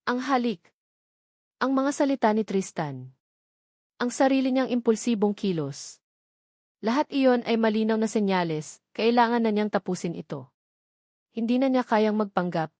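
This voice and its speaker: A woman narrating a scripted romantic drama story in a steady, dramatic storytelling manner. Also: fairly high pitch, moderate pace, pauses often, dry recording, clean studio sound.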